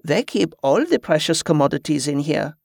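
A man voicing an older woman in an audiobook, calm, commanding and a little sardonic, with a measured manner. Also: fairly high pitch, creaky, no echo, clean studio sound. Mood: quietly sardonic. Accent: foreign-sounding invented accent. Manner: firm, measured, authoritative.

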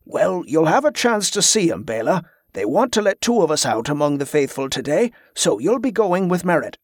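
Man playing a genial, patient group leader, higher-pitched. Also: sing-song, moderate pace, no echo, studio quality. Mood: explaining. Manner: welcoming, patient.

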